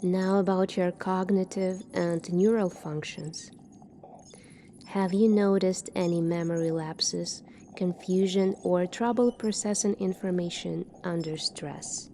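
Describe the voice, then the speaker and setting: A soft-spoken woman doing an ASMR roleplay as a calm military doctor, asking questions in a measured way. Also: on the low side, slight background noise. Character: calm seasoned future military doctor examining a space recruit.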